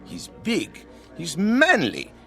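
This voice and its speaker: Radio drama: bustling, theatrical director, very high for a man, coaxing, faint music behind. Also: wildly swooping pitch, slow, creaky, a bit bright, full character voice. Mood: coaxing, gushing. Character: excitable, gushing male film director.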